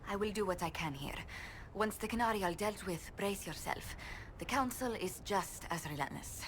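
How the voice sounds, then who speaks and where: An actress voices a caring, formal diplomat in a fantasy game. Her voice is medium in pitch and refined in manner, with light ambient noise behind. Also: lively intonation, normal speed, fairly bright tone, close to an everyday voice. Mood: gracious. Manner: formal, considerate. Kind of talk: video game character dialogue.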